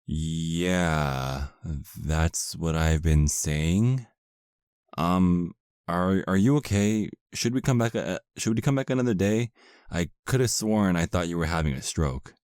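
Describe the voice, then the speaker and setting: A man's voice: sits low, slow, darkish tone, clean tone, dry recording, clean studio sound. A man playing an exasperated, sarcastic vampire husband, centuries old but youthful, in a comedic audio roleplay. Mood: puzzled. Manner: sarcastic, complaining.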